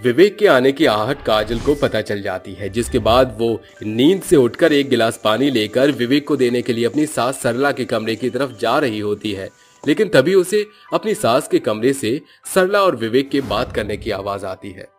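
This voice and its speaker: A man's voice: medium pitch, expressive, normal speed, soft background music. A man giving scripted narration for a horror cartoon story.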